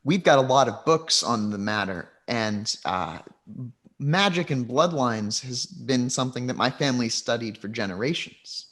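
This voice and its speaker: A man's voice: mid-range voice, animated, normal speed, a bit bright, natural voice. A man voicing a sheltered young woman from a mage family who talks earnestly and a little anxiously.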